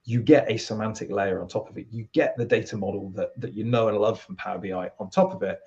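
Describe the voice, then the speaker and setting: A man with a British accent, a guest from a product team, explaining at length in a relaxed, rambling way with lots of fillers. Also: fairly low pitch, fast talker, vocal fry, highs cut off. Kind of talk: casual interview talk.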